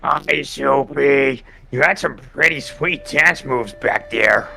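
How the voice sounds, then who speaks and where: A man voicing an awkward, bashful potato monster in a comic game, coy and halting as he pleads. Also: medium pitch, gravelly, bright, forceful tone, soft background music, exaggerated voice. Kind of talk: comic visual novel voice acting.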